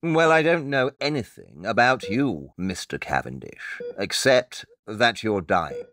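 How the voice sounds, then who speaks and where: A man's voice: on the low side, lively intonation, unhurried, fairly bright tone, no echo. A man playing a blunt, sceptical detective in an audio drama, with a dry wit and theatrical manner.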